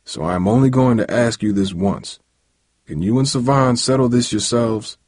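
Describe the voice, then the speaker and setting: A man voicing a weary, serious middle-aged father in an audiobook, speaking gravely and gently as he gives advice. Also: mid-range voice, no room sound, close to an everyday voice. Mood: weary, earnest. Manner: slow, grave, gentle advising. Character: weary, serious ailing father of a teenage boy.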